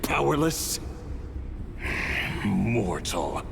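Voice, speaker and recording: A menacing game villain, deep and gravelly. The contemptuous words come out extremely slowly, in long reverb over music. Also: male voice, sing-song, background noise, heavily acted. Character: menacing, contemptuous dark male power. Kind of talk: video game villain acting.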